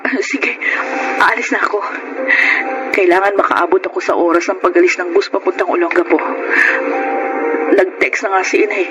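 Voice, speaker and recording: A woman's voice: mid-range voice, moderate pace, long pauses, dull audio, close to an everyday voice. A woman with a Filipino accent playing a needy, lovelorn young woman in an emotional radio drama. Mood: remorseful. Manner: pleading, heartfelt. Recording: music behind the voice.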